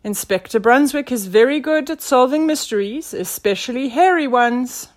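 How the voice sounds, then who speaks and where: A woman's voice: sits high, expressive, moderate pace, pitched above the performer's own voice. A woman voicing a loyal, tactful male sidekick to a detective in a children's story, polite and confident.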